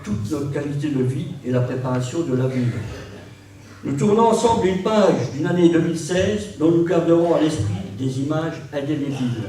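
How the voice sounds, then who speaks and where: A man's voice: a little high, warm tone. Man giving a formal speech, very echoey room. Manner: measured, formal oratory. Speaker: local politician giving an official address. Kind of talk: formal New Year speech, read from prepared text. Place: large reverberant room at a public ceremony. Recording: very echoey hall, distant mic, faint noise.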